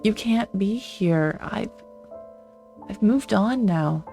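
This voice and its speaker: A woman's voice: medium pitch, animated, takes it slowly, gravelly, mellow, soft background music, pitched above the performer's own voice. A woman voicing a grieving young wife, tearful and pleading behind a closed door.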